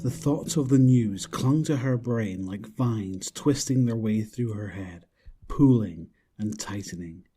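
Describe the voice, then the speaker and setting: A man's voice: fairly low pitch, a little slow, warm tone, husky, soft background music. A man reading scripted narration for a horror audio drama.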